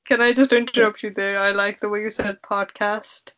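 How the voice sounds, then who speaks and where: A woman's voice: mid-range voice, little pitch movement, moderate pace, a bit bright. A young woman hosting a casual podcast with her sister, chatty and teasing. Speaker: podcast host. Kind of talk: casual sibling podcast chat. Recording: dull lo-fi podcast audio.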